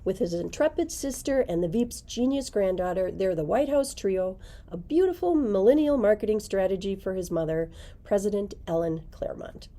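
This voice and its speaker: A woman's voice: mid-range voice, lively intonation, normal speed, warm tone. A middle-aged woman, a librarian, recommending books and reading their blurbs aloud to a camera in a library. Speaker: librarian presenting book picks.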